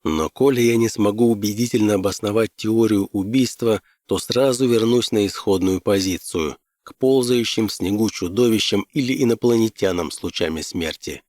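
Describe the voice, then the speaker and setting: A male audiobook narrator with a fairly low voice reads first-person fiction. The delivery is scripted storytelling, recorded dry with very little room sound. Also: normal speed, few pauses, darkish tone, no room sound.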